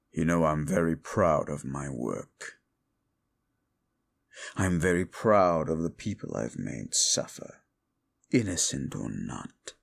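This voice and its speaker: Casting: male actor as a proud, belittling audio-drama demon, low, with wide swings in pitch. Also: creaky, full character voice. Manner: contemptuous, belittling, theatrical.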